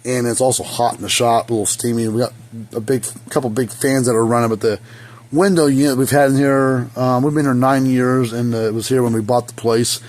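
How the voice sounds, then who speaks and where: A hoarse man giving a casual, rambling how-to repair demonstration in his hot workshop, with lots of fillers. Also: medium pitch, steady tone, fairly quick, darkish tone. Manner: rambling, folksy, many fillers. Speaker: fence energizer repair technician. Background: faint hum of a small fan.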